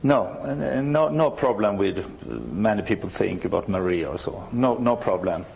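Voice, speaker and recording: A man in his sixties from Sweden with a Swedish accent, a church musician telling of his conversion in halting English, searching for words. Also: on the low side, vocal fry, a little mellow. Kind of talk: interview answers.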